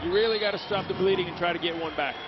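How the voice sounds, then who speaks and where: A man gives fast, emphatic color commentary on a soccer match and circles back to repeat his points. His voice sits a little high. It comes through as a dull, lo-fi television broadcast. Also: over loud music. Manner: rapid, emphatic, repeats himself. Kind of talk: sports analysis.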